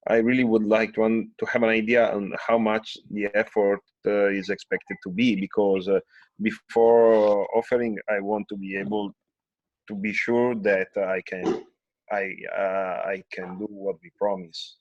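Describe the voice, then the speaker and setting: A man speaking up in a conference call meeting with deliberate, halting phrasing, heard through dull, muffled call audio. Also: on the low side, level tone, a little mellow, no room sound. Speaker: meeting participant. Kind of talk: meeting discussion.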